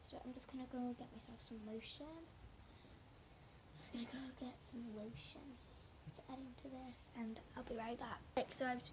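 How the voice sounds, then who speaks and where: A woman chatting casually and haltingly through a hands-on demonstration for viewers, with a hoarse, breathy voice that keeps thinning into a whisper. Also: on the high side, fairly even intonation. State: hoarse, losing her voice. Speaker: slime video maker talking to viewers. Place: at home indoors. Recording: noisy amateur home recording.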